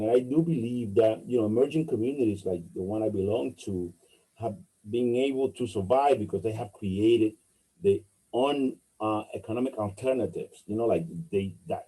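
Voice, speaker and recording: A middle-aged man speaks in a low, very dark and husky voice. He answers interview questions haltingly, filling pauses with "uh" and restarting his sentences often. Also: rough recording. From Dominican Republic. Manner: halting, many fillers and restarts. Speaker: political candidate.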